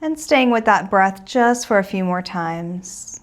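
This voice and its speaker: A woman leads a guided meditation at a very unhurried pace. Her delivery is gentle and soothing, with the reassuring manner of a teacher settling beginners in. Her pitch sits in an average female range. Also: animated.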